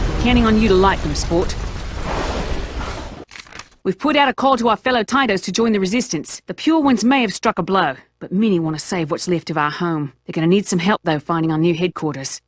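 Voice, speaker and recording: A woman's voice: medium pitch, a little fast, lightly acted. A woman voicing a commanding female owl resistance leader who gives urgent mission briefings over game music.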